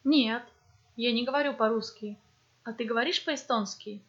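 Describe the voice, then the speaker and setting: A woman reads scripted textbook dialogues for a language course. Her voice sits fairly high, and she speaks slowly and clearly. The recording sounds dull and lo-fi. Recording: dull lo-fi audio.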